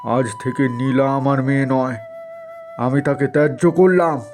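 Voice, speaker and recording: Cartoon landlord father, middle-aged, played by a man: stern, commanding, dark-toned, measured pace. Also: medium pitch, faint music behind, close to an everyday voice. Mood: hurt, indignant. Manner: indignant, commanding. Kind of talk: cartoon story voice acting.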